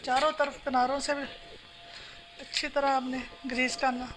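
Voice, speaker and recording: A woman calmly explaining a home recipe step by step while she cooks. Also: sits high, normal speed. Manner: calm, instructional, talks while working. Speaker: home cooking channel host. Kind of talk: step-by-step cooking instructions. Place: home kitchen. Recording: noisy home phone recording.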